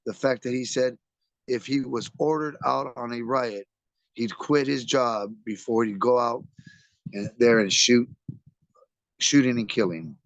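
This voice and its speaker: A hoarse man with a raspy voice, reading a memoir aloud to a book club. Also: mid-range voice, steady tone, a little slow, no room sound, muffled recording.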